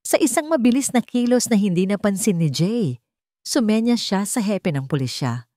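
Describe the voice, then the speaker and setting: A woman's voice: mid-range voice, sing-song, moderate pace, warm tone, no room sound, studio quality. A woman reading dramatic scripted narration as an audio storyteller.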